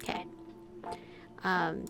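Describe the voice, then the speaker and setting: A woman's voice: very slow, over music. A woman giving blunt, sarcastic, sweary casual commentary as she plays a game. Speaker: gaming YouTuber. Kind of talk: casual let's play commentary.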